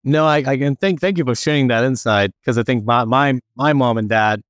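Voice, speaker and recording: A man's voice: medium pitch, brisk, fairly bright tone, smooth, dry recording, studio quality. A man with an Indian accent hosting a podcast interview, friendly and rambling with many restarts. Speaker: podcast host. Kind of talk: casual interview chat.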